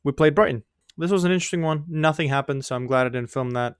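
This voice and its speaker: A man's voice: on the high side, quick pace, clear, resonant tone, no echo, studio quality. A young man, not a native English speaker, giving rambling, self-mocking commentary on his own game, often laughing. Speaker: gaming YouTuber. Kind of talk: casual gameplay commentary.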